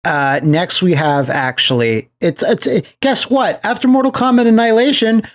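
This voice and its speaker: A man co-hosting a podcast, casually discussing movies in a halting way, often repeating phrases as he explains. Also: sits high. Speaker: podcast co-host.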